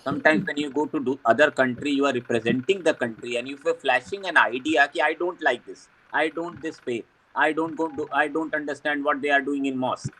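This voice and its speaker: A man's voice: fairly high pitch, fairly even intonation, brisk, hardly any pauses, pushed and bright, poor audio. A man speaking earnestly on a video call panel, emphatic and repeating himself as he searches for words. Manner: emphatic, with frequent restarts. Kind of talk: earnest discussion talk. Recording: faint background noise.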